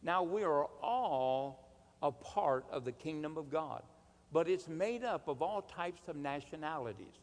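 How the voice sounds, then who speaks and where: A man preaching a sermon in an emphatic, deliberate manner, with rhetorical questions and calls for response. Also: on the high side, lively intonation. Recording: long hall reverb.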